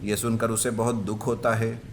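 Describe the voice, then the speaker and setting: Male storyteller over loud background noise. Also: fairly low pitch, flat delivery, normal speed. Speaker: story narrator. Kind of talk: scripted narration of children's moral story.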